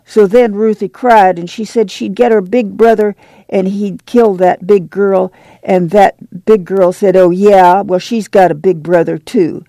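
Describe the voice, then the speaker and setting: A woman voicing a young farm boy who tells a story in a breathless, rushed run-on way. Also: medium pitch, fairly even intonation, mellow, no room sound, close to an everyday voice, rough recording. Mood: breathless, eager. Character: breathless little farm boy, excited tattler.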